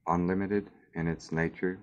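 A man narrating a scripted guided meditation in a measured, soothing way with long pauses, on a dull recording. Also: low voice, little pitch movement.

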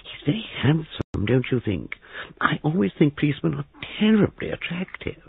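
Gushy camp young fella, way up high and shrill, audiobook, tinny phone sound. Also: male voice, big pitch swings, moderate pace, gravelly, husky, no room sound, exaggerated voice. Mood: gushing. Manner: gushing, theatrical. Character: excitable, giggly, camp young man.

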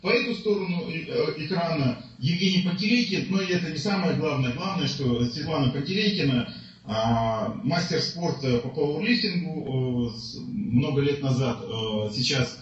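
A man hosting a livestream, introducing his guests slowly and hesitantly with many fillers. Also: a little high. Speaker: stream host. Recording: very echoey room, far from mic, dull.

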